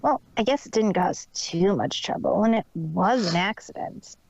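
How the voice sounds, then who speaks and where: A woman voicing a brash young female pegasus pony who is gruffly caring and casual, in a storybook reading. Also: medium pitch, expressive, moderate pace, a little mellow, no echo, lo-fi sound. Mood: concerned.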